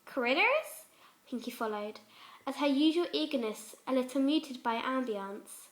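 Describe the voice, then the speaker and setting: A woman's voice: sits high, moderate pace, roomy sound. A woman reading fan fiction aloud as scripted storybook-style narration.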